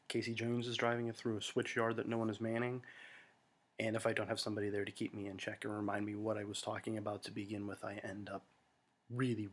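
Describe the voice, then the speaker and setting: An American man talking to friends in a rambling, self-deprecating monologue full of fillers and restarts, recorded at home. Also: on the low side. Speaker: vlogger.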